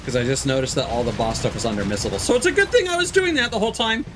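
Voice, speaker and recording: A man's voice: mid-range voice, expressive, rapid-fire, background noise. A man giving casual, wry, joking commentary as he streams a video game. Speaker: live streamer. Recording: game music behind the voice. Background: video game music.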